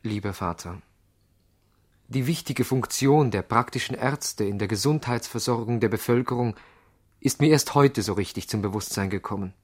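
A man's voice: on the low side, lightly acted. A man playing an earnest, worried country doctor in a radio drama, formal and serious, with a Swiss accent. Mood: reflective. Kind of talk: German-language radio drama acting. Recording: slightly veiled 1970s radio drama sound.

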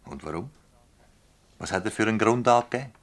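Deep-voiced Swiss German prosecutor, insistent. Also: male voice, expressive, fairly quick. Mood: impatient, pressing. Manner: insistent, interrogating, formal. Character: probing, impatient male public prosecutor questioning villagers. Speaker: actor in a radio drama. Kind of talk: dialect radio play acting.

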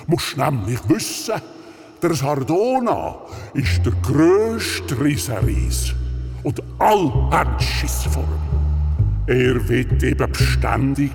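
An actor playing a friendly, mischievous giant in a children's radio play, with a deliberate, comforting manner. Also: male voice, mid-range voice, animated. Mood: sly, scornful. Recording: long reverb, music behind the voice.

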